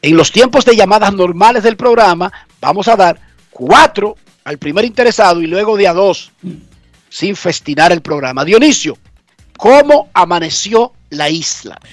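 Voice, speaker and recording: High-voiced man with a cold. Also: expressive, moderate pace, no room sound, faint music behind. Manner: chatty, emphatic, explains things repeatedly. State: congested with a cold. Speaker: radio show host. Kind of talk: casual radio talk. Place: home garage.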